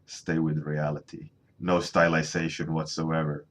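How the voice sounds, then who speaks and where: A man's voice: a bass voice, level tone, measured pace. A man in his thirties, a native Swedish speaker, chatting casually on a painting livestream from his home studio, rambling and restarting his sentences.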